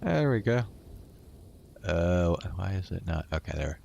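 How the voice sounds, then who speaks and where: A man's voice: deep voice, sing-song, unhurried, vocal fry, darkish tone, highs cut off, slight background noise. A man hosting a livestream, chatting casually and muttering to himself with some swearing. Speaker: livestream host.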